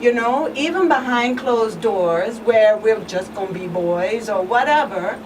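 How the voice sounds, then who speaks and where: Woman's slightly high voice over clear noise. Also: lively intonation, unhurried. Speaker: guest expert on a community talk show. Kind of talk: conversational advocacy talk. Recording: distant mic, clear background noise.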